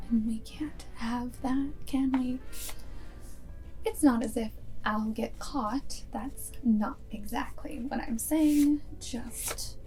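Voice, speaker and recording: A woman playing a guarded but secretly fond vampire girlfriend and wartime spy in a soft, whispered ASMR roleplay, speaking deliberately close to the microphone. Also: a little high, low-quality recording. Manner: hushed, deliberate, often dropping into whisper.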